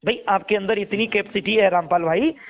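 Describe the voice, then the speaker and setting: A man's voice: sits high, fairly quick, creaky, fairly bright tone. A man talks through a dull phone recording. He is earnest and exhortatory, circling back over his points and addressing the listener as brother. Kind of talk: casual phone conversation. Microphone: phone call.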